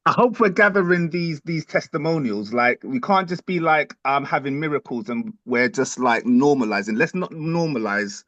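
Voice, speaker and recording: A man's voice: a little high, expressive, normal speed, hardly any pauses, fairly bright tone, no echo, highs cut off. A man chatting casually as a webinar participant, making his point in a wry, emphatic way. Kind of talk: casual chat.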